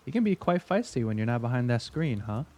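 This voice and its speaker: A man's voice: mid-range voice, big pitch swings, a little mellow. A man playing a smug veteran investigator in an audio drama, wry and probing as he interrogates. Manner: wry, needling, deliberate.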